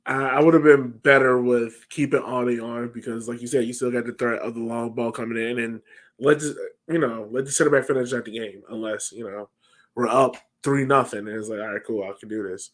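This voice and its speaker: Brief: male podcast co-host, thirties, middle-range pitch, casual sports talk on a call. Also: fairly even intonation, a little fast. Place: home room, on a video call.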